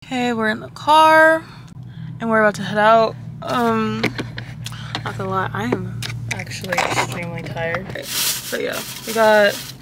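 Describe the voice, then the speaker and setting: A woman's voice: medium pitch, unhurried, long pauses, noisy background. A woman in her twenties vlogging casually to a phone camera in her car, chatty and slangy. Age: 20s. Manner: slangy, chatty, animated storytelling. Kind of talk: casual vlog chat to camera. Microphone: phone camera held close.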